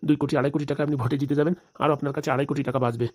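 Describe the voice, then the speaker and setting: Woman playing low, rapid-talking male schemer. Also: steady tone, darkish tone. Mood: persuasive. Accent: rural Bengali. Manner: persuasive, boastful. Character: smooth-talking scheming male election fixer. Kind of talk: comedy cartoon dialogue.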